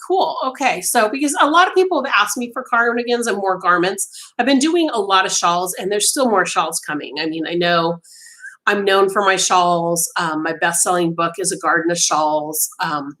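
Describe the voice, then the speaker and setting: A woman's voice: medium pitch, fairly bright tone, rough recording. A middle-aged woman losing her voice, chatting casually with live viewers from a small home craft room over a webcam. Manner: chatty, many ums and restarts, talks with her hands. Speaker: livestream host.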